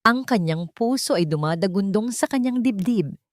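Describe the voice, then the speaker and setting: A woman narrating a scripted romance story in a deliberate, emotive, dramatic way. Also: mid-range voice, animated, no echo, clean studio sound.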